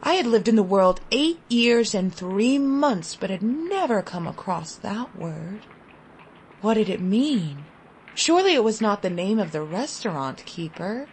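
Slow, deliberate woman reading a story. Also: medium pitch, slight background noise. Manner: deliberate, expressive, with long pauses. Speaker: audiobook story reader. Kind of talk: dramatic literary short story narration.

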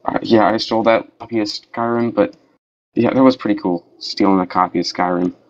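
A man's voice: on the low side, steady tone, a little fast. Laid-back young man on muffled voice chat. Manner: easygoing, slangy, says "dude" and "bro". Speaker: voice chat participant. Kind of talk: casual storytelling over voice chat.

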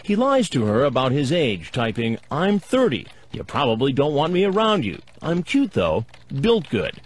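A man's voice: mid-range voice, wildly swooping pitch, normal speed, husky. A man narrating a TV investigative program in a wry, matter-of-fact scripted voice-over.

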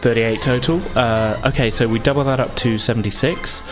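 A man's voice: medium pitch, fairly even intonation, brisk, faint music behind. A man running a tabletop roleplaying game, narrating combat and calling out dice results. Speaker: game master.

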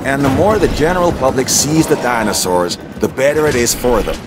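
A man's voice: medium pitch, very animated, a little fast, background noise, close to an everyday voice. An actor playing a thoughtful conservationist advisor in a video game, earnest and explanatory in his way of talking. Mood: earnest, measured. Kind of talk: video game dialogue acting.

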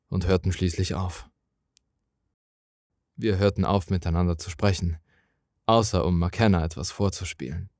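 Male creepypasta narrator: fairly low pitch, unhurried, dramatic scripted read. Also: darkish tone, no room sound, studio quality. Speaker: horror story narrator.

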